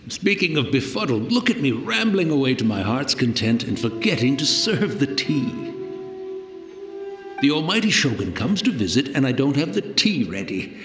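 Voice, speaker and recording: An actor playing a humble, rambling old servant in a video game, courteous and wistful in old-fashioned formal speech. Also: male voice, medium pitch, very animated, normal speed, a bit bright, reverberant, soft background music. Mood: flustered, apologetic. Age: older.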